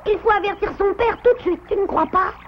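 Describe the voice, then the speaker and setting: A woman's voice: very high voice, fairly quick, heavy background noise. A woman voicing an earnest, stubborn young animal child in a French cartoon, with an insistent delivery. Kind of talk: French cartoon dub acting. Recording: muffled sound with music behind.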